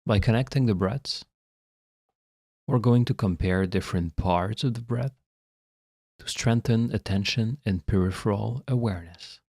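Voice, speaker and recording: A man leading a guided meditation as a guide, speaking in a calm, measured, instructional way with long pauses. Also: on the low side, gravelly, no room sound, clean studio sound.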